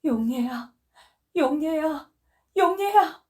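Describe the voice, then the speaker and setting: A woman's voice: high-pitched, animated, slow, darkish tone, voice pushed up. A woman voicing a grief-crazed mother who wails and sobs, calling for her lost daughter. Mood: delirious calling. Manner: wailing, shrieking, sobbing. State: crying.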